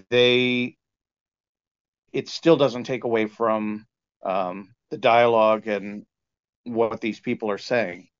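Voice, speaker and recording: A man being interviewed as a sound engineer, explaining in a conversational, halting way with lots of "you know". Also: fairly low pitch, smooth. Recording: dull, lo-fi band-limited sound.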